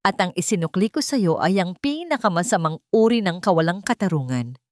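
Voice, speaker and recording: A woman's voice: lowish voice, sing-song, fairly quick, a little mellow, no room sound, clean studio sound. A woman voicing a remorseful wealthy man in a romance story, pleading and confessing dramatically. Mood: tender confession.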